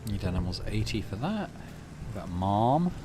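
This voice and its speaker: A man doing casual, low-key game commentary and reading story text aloud as he plays. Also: big pitch swings, normal speed. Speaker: gaming channel host. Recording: music behind the voice. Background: game music.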